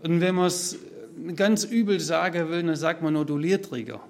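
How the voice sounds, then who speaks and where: A man's voice: on the high side, moderate pace, gravelly, crowd noise. A man preaching a sermon, explaining step by step and speaking freely to his listeners. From Swabia, southern Germany. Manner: explanatory, structured, addresses listeners directly. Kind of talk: sermon, spoken freely from notes to a congregation.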